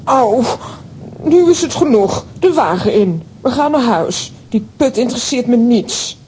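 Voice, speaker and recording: High, animated, excitable storybook heroine. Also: female voice, unhurried, low-quality recording. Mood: firm. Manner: theatrical, exclamatory. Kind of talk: children's audio drama acting. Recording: faint noise and low rumble.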